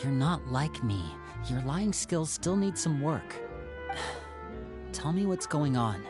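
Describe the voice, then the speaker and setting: A man's voice: a little high, very animated, takes it slowly, music in the background. A man playing a suave, protective young elder brother in a video game, with an assured, theatrical, probing manner. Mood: concerned, probing.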